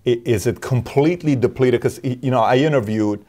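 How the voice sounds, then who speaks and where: A man hosting an interview, asking probing questions in a lively way, often restarting his sentences. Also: mid-range voice, a little fast. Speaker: interview host. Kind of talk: casual interview talk.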